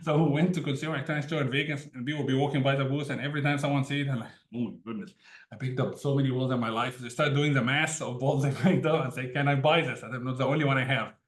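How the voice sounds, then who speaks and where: A man's voice: medium pitch, fairly even intonation, fast talker. A man from Egypt, a tech founder telling his story as an interview guest in an easygoing way, often laughing between sentences. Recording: roomy echo.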